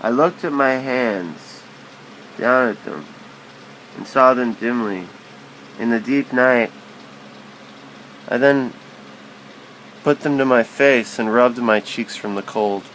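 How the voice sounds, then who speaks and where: A man's voice: medium pitch, slow, long pauses, a bit bright, poor audio. Man musing aloud over background noise. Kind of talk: spoken-word reading of literary prose.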